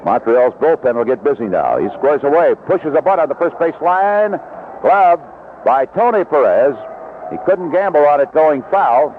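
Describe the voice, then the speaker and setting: Animated baseball announcer, man, on noisy old radio. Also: a little high, moderate pace. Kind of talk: live radio baseball commentary, 1970s. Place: outdoor ballpark broadcast booth. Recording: lo-fi vintage AM radio broadcast, heavy noise. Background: loud stadium noise.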